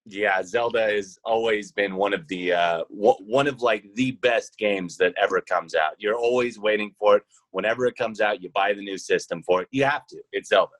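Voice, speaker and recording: A man in his thirties speaks in a fairly low voice. He is a podcast guest talking casually, and the sound is dry with no room echo. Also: moderate pace, hardly any pauses, fairly bright tone. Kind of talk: casual chat.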